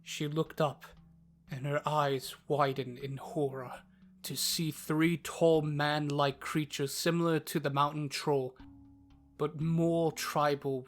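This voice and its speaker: Slow, dramatic woman storyteller. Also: sits low. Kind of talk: scripted fantasy story narration. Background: background music.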